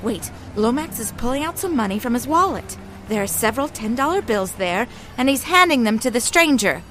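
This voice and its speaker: An actress playing an eager, excitable young sister who assists a sleuth in a family mystery audio drama. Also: female voice, on the high side, fairly quick, background noise, lightly acted. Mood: eager, curious.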